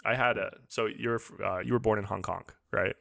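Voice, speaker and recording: A man chatting casually as a podcast host and interviewer, talking in an informal, rambling, halting way. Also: lowish voice, steady tone, gravelly, fairly bright tone, no room sound. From born in England, moved to the US as a child. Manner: informal, rambling, halting, says "dude" and "like" a lot.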